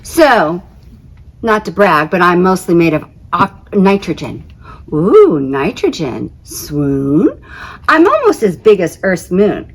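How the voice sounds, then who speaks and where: A woman plays a little storybook planet, talkative and easily affronted. The delivery is exclamatory and self-conscious, with pitch that leaps about in a very animated way. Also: slow, slightly rough, full character voice. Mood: boastful, chatty. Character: Pluto, small excitable, indignant, talkative dwarf planet. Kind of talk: children's picture-book read-aloud.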